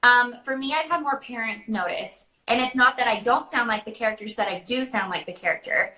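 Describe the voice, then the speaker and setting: An American woman hosting a casual online chat, telling stories and answering questions in an animated way. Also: fairly high pitch, fairly quick. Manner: animated storytelling, acting out quoted dialogue. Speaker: live show host and character party performer. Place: indoor room, online video chat. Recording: roomy echo.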